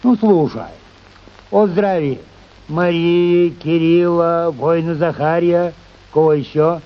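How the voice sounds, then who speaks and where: Grumpy village church scribe, somewhat high voice, halting, easily muddled, radio drama, slightly dull sound. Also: male voice, fairly even intonation. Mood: reading out slowly. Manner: grumbling, halting, folksy. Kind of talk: radio play acting of a classic short story.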